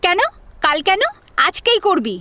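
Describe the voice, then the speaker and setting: A woman's voice: extremely high pitch, very animated, a little slow, bright, forceful tone, no echo, full character voice. A woman playing a bullying, short-tempered young sister-in-law in an audio drama, scolding and haughty as she gives orders. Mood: scornful, bossy. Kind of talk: Bengali audio drama acting. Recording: music under the voice.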